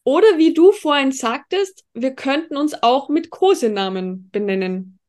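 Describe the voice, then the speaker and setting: A high-pitched woman from Tyrol co-hosts a casual podcast. Her Austrian accent is Tyrolean, with a rolling R and a hard, throaty K. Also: expressive, normal speed, darkish tone. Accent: Tyrolean Austrian German. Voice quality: strongly rolled R, harsh throaty K. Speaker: podcast co-host.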